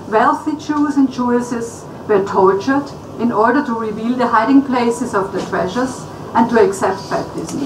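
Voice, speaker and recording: Woman reading a lecture in an echoey hall. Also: on the high side. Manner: measured and formal, with occasional restarts. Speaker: historian giving a lecture. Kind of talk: reading a prepared academic paper aloud. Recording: echoey hall, distant mic, audible room noise.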